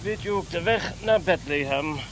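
An actor playing a courteous travelling king in a radio play, speaking politely and deliberately. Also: sits high, fairly bright tone, rough recording. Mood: polite, searching. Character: Melchior, courteous travelling king seeking Bethlehem. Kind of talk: Dutch radio play acting.

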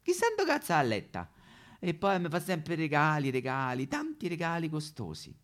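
A man's voice: fairly high pitch, huge pitch swings, normal speed, fairly bright tone, voice pushed up. A man playing a brash, weary female prostitute with a Roman accent, in a coarse, slangy comic monologue. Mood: wistful.

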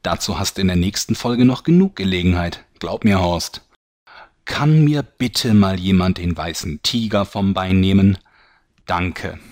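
A man's voice: low-pitched, unhurried, a little mellow, dry recording, studio quality. A man playing the wry narrator of a fantasy parody audio drama, presenting it like a showman.

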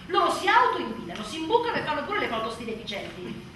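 A woman's voice: high voice, huge pitch swings, fast, pushed and bright, exaggerated voice. An actress playing an exhausted, sardonic new mother in a theatrical radio monologue, emphatic and full of sarcasm. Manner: emphatic, sarcastic, mimicking others mockingly. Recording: echoey room, distant mic, faint noise.

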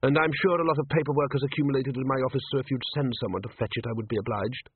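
A man's voice: medium pitch, steady tone, racing, slightly rough, no room sound. Imperious ruler, silky and dismissive, voiced by a man; tinny, dry recording. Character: cool, imperious male city ruler. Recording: tinny narrow-band, dry.

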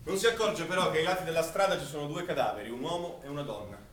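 An actor playing a solemn narrator who recounts wartime deaths in a deliberate, declamatory stage manner. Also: male voice, fairly high pitch, fairly bright tone, distant mic, close to an everyday voice. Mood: grave, declamatory. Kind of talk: dramatic scripted stage narration. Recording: echoey, off-mic recording with faint noise.

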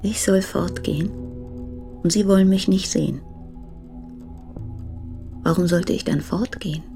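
Lovesick young woman, lowish voice, urgent, intimate, insistent, radio drama with faint music. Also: measured pace, gravelly. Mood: bewildered. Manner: urgent, intense, pleading. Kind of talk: intimate radio play acting.

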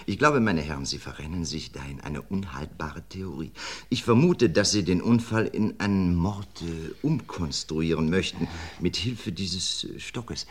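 An actor playing a seasoned, sardonic forensic doctor in a vintage radio crime drama, lecturing dryly. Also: male voice, low voice, animated, unhurried. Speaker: police doctor. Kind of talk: 1950s radio play acting.

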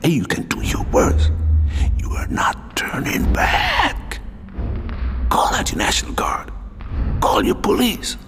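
A man's voice: sits high, huge pitch swings, takes it slowly, pauses often, over music. A man performing spoken-word protest poetry in a dramatic delivery that moves between half-whispered and emphatic.

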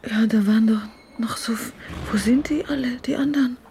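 A woman's voice: on the high side, brisk, warm tone, slight background noise, lightly acted. An actress playing a stubborn, bitter woman in a naturalistic German radio drama. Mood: dazed, hushed.

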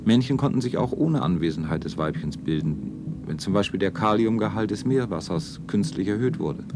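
An actor as a detached colony scientist in a science fiction radio play. He lectures clinically in a lowish voice with lively swings of pitch. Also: fairly quick, close to an everyday voice. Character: detached male scientist observing a colony of breeders. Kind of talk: 1970s German radio play acting.

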